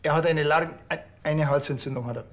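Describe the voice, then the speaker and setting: An actor playing a dry, grumbling village policeman in a TV crime comedy, deadpan and blunt, with a Bavarian accent. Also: fairly high pitch, fast, natural voice. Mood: defensive, dry.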